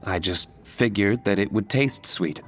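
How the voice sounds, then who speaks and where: Low-voiced, reserved young gentleman played by a man. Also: a little slow, lightly acted. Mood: wistful, reflective. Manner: composed, courteous, understated. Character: reserved, polite, reflective young nobleman. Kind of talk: scripted video game voice acting. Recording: game audio with music behind.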